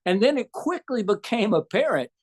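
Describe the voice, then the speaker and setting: A man in his sixties with a Southern US accent, a physician answering interview questions in a measured, thoughtful way with frequent pauses. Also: high voice, very animated. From Southern United States. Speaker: physician guest.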